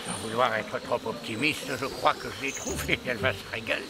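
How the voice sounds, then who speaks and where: An actor voicing an excitable, fawning little cartoon animal sidekick, theatrical and full of exclamations. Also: mid-range voice, fairly quick, very noisy, close to an everyday voice. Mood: servile, sly. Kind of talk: animated fairy-tale dubbing.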